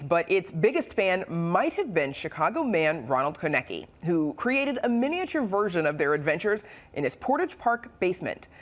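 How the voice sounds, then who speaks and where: Low-voiced American woman hosting the news, lively intonation. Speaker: news program host. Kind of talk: broadcast news hosting, roundtable questions and scripted introductions. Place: TV studio.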